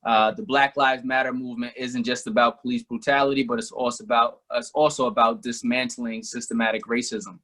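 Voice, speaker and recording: A man's voice: mid-range voice, little pitch movement, a little fast, few pauses, fairly bright tone. A man from Albany interviewing a guest, speaking loosely with many fillers. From Albany, New York. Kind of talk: casual conversation.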